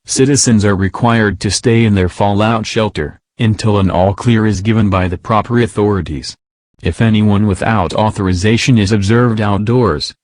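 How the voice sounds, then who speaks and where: A man's voice: on the low side, a little mellow, dry recording, natural voice. A man's voice, possibly synthetic, playing a stern, impersonal announcer reading a scripted emergency broadcast. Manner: formal, impersonal.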